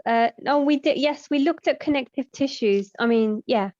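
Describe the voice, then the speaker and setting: A woman's voice: high-pitched, normal speed, darkish tone, lo-fi sound. A sleepy woman from the UK, a student on a video call, answering hesitantly while checking her notes. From United Kingdom.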